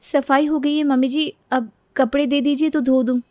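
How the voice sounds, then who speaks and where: A woman voicing a meek, weary young daughter-in-law in a storybook tale, soft and plaintive. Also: high-pitched, steady tone, moderate pace, no room sound, clean studio sound, pitched above the performer's own voice, lightly acted. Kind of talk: Hindi moral-story voice acting.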